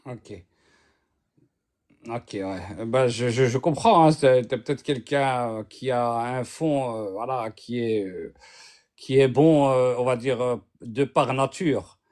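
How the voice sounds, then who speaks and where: A man from northern Morocco calling into a debate, talking haltingly with many restarts while he searches for words. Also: mid-range voice, darkish tone. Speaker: caller in a debate. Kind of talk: hesitant casual argument.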